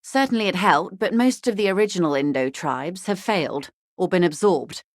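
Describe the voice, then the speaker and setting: An actress playing a shrewd, genteel corporate negotiator in a video game, speaking in a refined, articulate and dryly understated manner. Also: female voice, fairly low pitch, moderate pace, no room sound, studio quality, close to an everyday voice. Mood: grave, composed. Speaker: video game character voice actor. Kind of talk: video game dialogue, measured conversational delivery.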